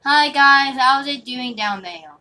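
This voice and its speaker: High, bright young-sounding female gamer. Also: slow. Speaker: gamer hosting a roleplay video. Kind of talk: casual improvised game roleplay chat. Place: small bedroom, indoors. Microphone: phone filming the TV screen. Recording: noisy room recording, faint clicks.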